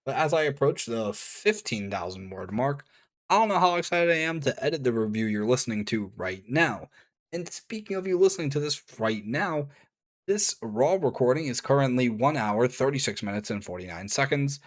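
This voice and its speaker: A man reading a scripted review, with casual offhand asides and a voice gone strained and a little hoarse. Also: mid-range voice, expressive, normal speed. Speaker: video reviewer.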